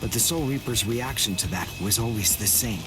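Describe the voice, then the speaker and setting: A man's voice: lowish voice, a little fast, natural voice. An actor playing a proud, brooding young man with a serious, controlled, bitter delivery in an anime game dub. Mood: bitter recounting. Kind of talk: English anime game dub, dramatic monologue. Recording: loud music behind the voice.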